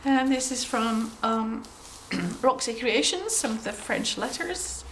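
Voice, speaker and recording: A woman with a cold, sounding a bit croaky, chatting gently through a show-and-tell of her handmade journal with frequent ums and throat clearing. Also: fairly high pitch, lively intonation, takes it slowly, a little hiss or hum, poor audio. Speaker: craft journal maker showing her work.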